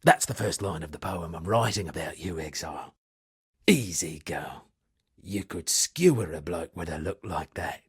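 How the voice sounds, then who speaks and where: An actor playing an eccentric shipwrecked sea captain and pompous amateur poet, speaking florid, dramatic game dialogue in a gravelly, husky voice. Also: male voice, sits low, normal speed, no room sound, clean studio sound, exaggerated voice. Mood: theatrical, rueful. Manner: florid, dramatic, alliterative declaiming. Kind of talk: theatrical video game character dialogue.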